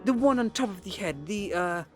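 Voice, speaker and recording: A woman's voice: medium pitch, lively intonation, normal speed, soft background music, lightly acted. A woman playing a polite, eager, slightly flustered hostess in a comedic audio drama. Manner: earnest, hesitant, searching for words.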